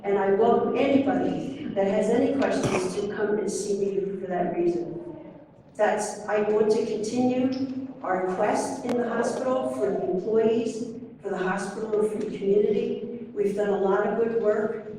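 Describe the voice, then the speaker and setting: A woman's voice: medium pitch, warm tone, a little hiss or hum. A woman making an earnest, insistent closing statement as a board candidate at a public forum. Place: convention center meeting room. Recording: echoey hall from far off.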